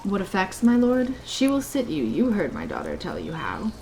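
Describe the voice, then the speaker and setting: A woman's voice: medium pitch, huge pitch swings, moderate pace, gravelly, a little mellow, slight background noise. A woman acting an elderly, solemn nobleman and gossiping father in an amateur Shakespearean radio play. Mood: feigned amazement.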